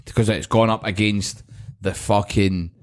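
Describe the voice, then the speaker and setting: A man's voice: lowish voice, steady tone, a little slow. A young Scottish man co-hosting a podcast in a casual, sweary chat, often laughing and acting out scenes. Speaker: podcast co-host.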